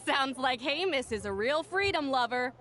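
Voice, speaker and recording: An actress playing an upbeat female tournament announcer in a video game, interviewing the finalists in a bright, showy way. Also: high voice, expressive, measured pace, a little hiss or hum. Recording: game audio with music underneath.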